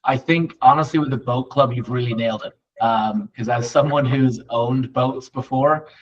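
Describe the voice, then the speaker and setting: A man's voice: mid-range voice, moderate pace. A man hosting a conversational real estate interview, speaking in a rambling, agreeable way. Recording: distant, dull lo-fi microphone.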